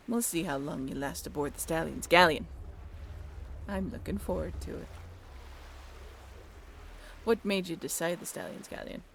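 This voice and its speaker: A woman's voice: low-pitched, pitched below the performer's own voice. A woman voicing a brash, commanding pirate deck boss who barks at the crew in an audio drama. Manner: swaggering, barking orders to the crew. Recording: clear background noise.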